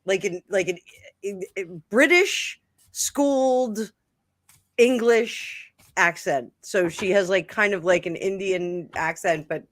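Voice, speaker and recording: A woman with a New Jersey accent, co-hosting a casual livestream and chatting in an animated way. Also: mid-range voice, takes it slowly, no room sound. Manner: animated, chatty, laughs a lot. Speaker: co-host of a tarot livestream. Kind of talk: casual chat.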